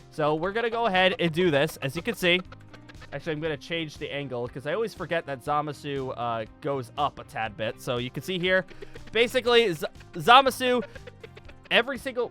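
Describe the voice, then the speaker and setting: A man's voice: high voice, bright, forceful tone. A young man giving a casual, rambling gaming tutorial, repeating phrases for emphasis. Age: young adult. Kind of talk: casual off-the-cuff tutorial commentary over gameplay. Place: indoor room at a computer desk.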